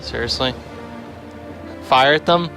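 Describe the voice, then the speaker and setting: A man's voice: mid-range voice, slow pace, creaky. Man rambling over game music. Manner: rambling, thinking aloud. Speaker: game developer livestreamer. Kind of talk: casual gameplay livestream commentary. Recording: music behind the voice.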